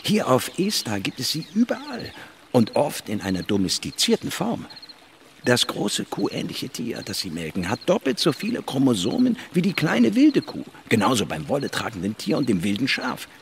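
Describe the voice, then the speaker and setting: An actor playing an inquisitive biologist narrating a space expedition in an audio drama, in a measured, explanatory manner. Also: male voice, medium pitch, huge pitch swings, vocal fry, slight background noise. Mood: absorbed, serious.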